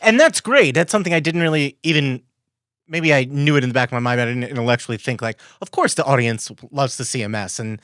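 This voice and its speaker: A man's voice: medium pitch, fast, fairly bright tone, smooth, no room sound, clean studio sound. A man hosting a casual interview, chatting in an enthusiastic, conversational way. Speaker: podcast host, festival director.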